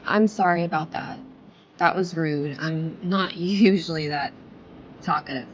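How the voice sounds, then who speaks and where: An earnest, nervous female interior designer in an audio romance drama. Her voice sits a little low and she rambles with awkward hesitations. Faint ambience and noise sit behind her. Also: a little slow, muffled recording. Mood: apologetic, concerned. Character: nervous, chatty young woman interior designer, earnest and apologetic.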